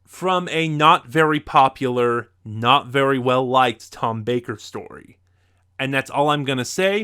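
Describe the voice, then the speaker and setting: Male reviewer, mid-pitched with a bright, forceful tone, measured but emphatic, sharing personal opinions.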